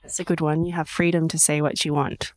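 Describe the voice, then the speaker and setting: A woman's voice: low voice, little pitch movement, fairly quick, darkish tone. A woman hosting and interviewing guests in a conversational way. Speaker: podcast host and interviewer.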